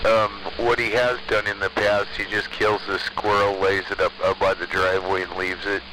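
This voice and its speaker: American man, low voice, casual CB radio chat, hissy noisy transmission. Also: level tone, moderate pace. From United States. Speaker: CB radio operator. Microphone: CB radio microphone.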